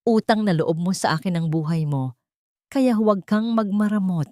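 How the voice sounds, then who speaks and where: A woman's voice: medium pitch, animated, normal speed, darkish tone, no echo, lightly acted. A harsh, commanding rich mother, as a female narrator plays her in an audio drama. She is cold and greedy, scolding her daughter, and the sound is dry. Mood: scornful. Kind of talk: Tagalog audio drama acting.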